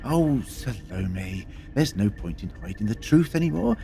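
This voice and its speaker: An actor playing a cunning, defiant time-travelling adventurer in a science fiction audio drama, wry and deliberate. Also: male voice, lowish voice, sing-song, creaky, mellow, soft background music, exaggerated voice. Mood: taunting.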